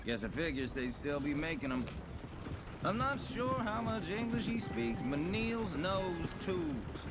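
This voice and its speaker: A man's voice: high-pitched, big pitch swings, unhurried, very noisy, heavily acted. An actor playing a talkative, folksy hired gunman and family man who reassures his employer, in a video game cutscene. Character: chatty hired gun, family man, confident.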